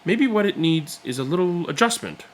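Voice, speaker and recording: A man reading a children's picture book aloud, with expressive, deliberate and dramatic phrasing. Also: a little high. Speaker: read-aloud storyteller.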